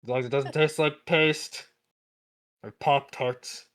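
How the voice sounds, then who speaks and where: A man voicing a dry-witted spaceship crewman on guard duty in a tabletop game, in deadpan, clipped radio talk. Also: medium pitch, measured pace, dry recording, natural voice. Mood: wry.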